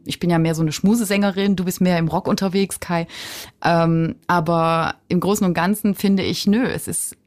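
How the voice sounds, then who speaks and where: A woman with a fairly low voice speaks as a guest in a radio studio, chatty and earnest. She often restarts her sentences and fills pauses with little hesitations. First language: German. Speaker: singer-songwriter guest. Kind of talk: casual interview talk.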